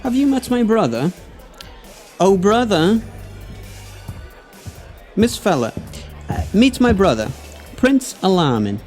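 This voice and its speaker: A woman playing a suave, courteous Prince Charming in an audio pantomime, smooth, formal and affected. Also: mid-range voice, big pitch swings, slow pace, long pauses, darkish tone, faint music behind.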